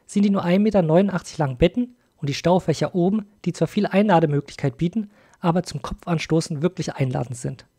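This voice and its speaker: A man's voice: on the high side, warm tone. A man hosting a video as an informal review voice-over, speaking directly to viewers. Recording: music behind the voice. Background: music.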